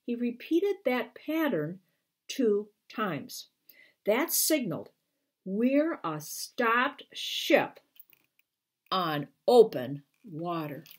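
Husky older woman reading a storybook aloud. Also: medium pitch. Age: 60s or older. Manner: deliberate, expressive reading with brief explanatory asides. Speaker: children's book author reading aloud. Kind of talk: storytime read-aloud to camera. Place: small living room at home, indoors.

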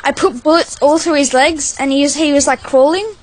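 A woman's voice: sits high, steady tone, a little fast, poor audio. A woman talking casually with a friend over voice chat while gaming, chatty and hesitant, full of fillers. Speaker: gamer.

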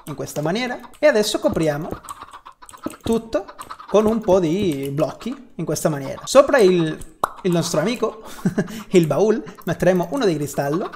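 A man's voice: sits high, darkish tone. Chatty young man, noisy home recording. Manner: chatty, repeats himself, mutters mild swear words. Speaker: gaming YouTuber. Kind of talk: casual spoken Minecraft building tutorial. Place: small room at home. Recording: clear background noise, keyboard and mouse clicks.

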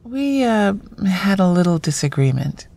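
An actress playing a self-deprecating screenwriter in a naturalistic audio drama, with dry, sardonic banter. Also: female voice, fairly low pitch, very animated, unhurried, creaky, darkish tone, full character voice. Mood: sheepish. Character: wry self-deprecating woman screenwriter among close friends.

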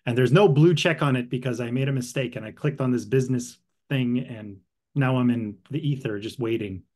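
A man in his thirties, an actor from northern Canada, chatting as an interview guest over a video call from a room at home, lively, repeating words and laughing easily. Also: mid-range voice, a little fast. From northern Saskatchewan, Canada. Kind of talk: casual interview chat. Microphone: headset with desk mic, video call.

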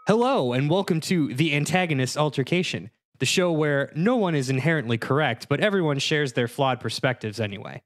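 A man's voice: a little high, animated, fairly quick, few pauses, no echo. A man hosting a podcast, introducing the show in a casual, conversational style. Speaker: podcast host.

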